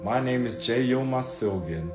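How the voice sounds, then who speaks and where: A man's voice: medium pitch, animated, normal speed. A man of Jamaican and Turkish descent living in the UK, urgently warning viewers and repeating his pleas. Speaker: vlogger. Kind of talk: earnest direct-to-camera appeal. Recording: roomy sound with loud music under it.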